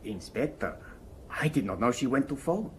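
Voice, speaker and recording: A humble Italian chauffeur in a radio play, acted by a man. He speaks with an Italian accent in a mid-pitched, lively, swinging voice, hesitant and courteous. Faint noise sits behind him. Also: normal speed, natural voice. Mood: polite, guarded. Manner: hesitant, broken grammar, polite. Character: deferential, loyal Italian chauffeur. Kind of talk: radio drama acting.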